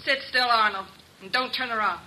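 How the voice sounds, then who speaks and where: An actress playing a bitter, vengeful wife, curt and cold, in a vintage radio mystery. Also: female voice, a little high, a little fast, pushed and bright, poor audio. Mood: cold, accusing. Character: bitter, vengeful wife holding a gun, steely. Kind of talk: 1950s radio mystery acting.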